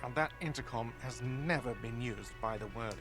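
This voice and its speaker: An actor playing a grumpy middle-aged hostel manager in a video game, gruff and defensive, swearing now and then. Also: male voice, mid-range voice, moderate pace, music in the background, natural voice. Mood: grumbling. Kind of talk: game voice acting.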